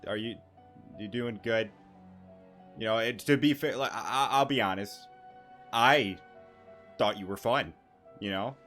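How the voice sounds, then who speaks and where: A male gaming YouTuber talks casually over a game in a fairly low voice. He rambles and jokes in a halting way. Faint game music sits behind him. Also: fairly bright tone. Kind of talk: casual let's-play commentary.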